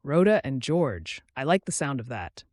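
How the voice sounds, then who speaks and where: A man voicing a warm, eager young woman with an affectionate, earnest manner in an audiobook. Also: mid-range voice, very animated, moderate pace, dry recording, studio quality. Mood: teasing.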